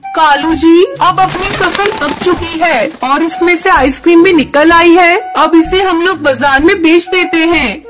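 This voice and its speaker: A woman's voice: very high voice, measured pace, hardly any pauses, music in the background. A woman voicing a caring, practical female bird wife in an earnest, homely manner for a children's cartoon fable. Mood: earnest, planning. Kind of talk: Hindi cartoon story voice acting.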